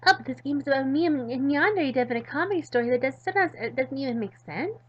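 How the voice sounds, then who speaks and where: A woman voicing a goofy, excitable, ditzy teenage schoolgirl from a visual novel, rambling and dramatic. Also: high voice, fast, lo-fi sound, a little hiss or hum. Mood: pleased.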